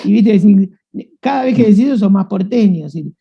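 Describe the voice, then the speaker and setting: A man with a Rioplatense accent talks in a loose, rambling way, full of restarts and fillers. His voice is heavily gravelly and husky. The sound is dull and lo-fi. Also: high voice, lively intonation, a little fast. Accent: Rioplatense Spanish. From Buenos Aires, Argentina. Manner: rambling, many restarts and fillers, frequent "¿viste?". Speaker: guest writer. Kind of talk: casual conversational interview.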